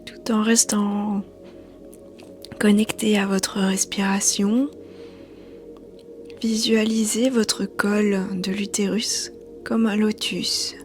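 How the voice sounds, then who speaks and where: A woman yoga teacher guiding a meditation in a soothing, hushed, breathy voice. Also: mid-range voice, little pitch movement, takes it slowly, long pauses. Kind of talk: guided meditation narration. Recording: soft background music. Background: quiet music.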